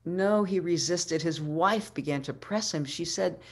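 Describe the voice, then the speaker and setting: A woman in her sixties tells a story as a keynote speaker. Her voice is low and she takes her time, pausing and repeating phrases for dramatic weight. She is talking into a webcam mic in a room at home. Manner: deliberate, dramatic storyteller with pauses and repetition. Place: living room at home, indoors. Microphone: webcam video call microphone.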